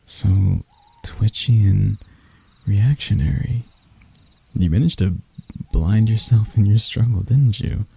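A man voicing a sadistic, seductive male spider-elf predator in intimate ASMR roleplay, breathy and teasing with his endearments. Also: lowish voice, dark tone, no echo, heavily acted. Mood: teasing, soothing. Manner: slow, teasing, purring endearments.

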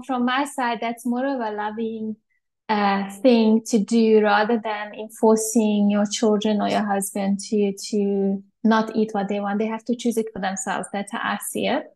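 A woman in her forties from Hungary, a guest in a video-call interview, talking in a chatty way with many fillers and restarts. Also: a little high. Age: 40s. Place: bright home room with shelves.

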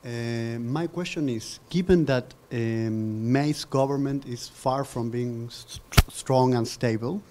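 A man's voice: mid-range voice, slow, few pauses, a little mellow, slight background noise. A young man from Argentina, a student politely asking a question at a seminar into a handheld mic. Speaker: student in the audience.

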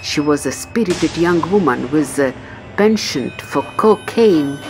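A woman reading a scripted tour narration in a smooth, even voice-over, with loud music behind her. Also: sits low, unhurried.

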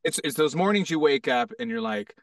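A man with a creaky, fairly high voice chats casually and tells stories with lots of movement in his pitch. He is an animated guest from the United States. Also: a little fast, no room sound, clean studio sound, far from the mic. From United States: Hawaii and Arizona. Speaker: podcast guest, actor and stunt performer. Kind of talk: casual chat, storytelling.

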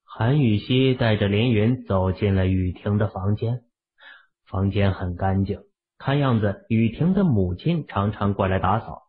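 Male audiobook narrator: slightly rough, lowish voice, scripted fiction read, thin narrow-band recording. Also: a little slow. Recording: very narrow, phone-like sound.

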